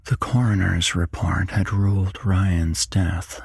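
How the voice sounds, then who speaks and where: A man reading a horror story as whispered ASMR narration, hushed and deliberate, close to the microphone. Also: low-pitched, steady tone, vocal fry, slightly rough, no echo. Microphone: close microphone, dry.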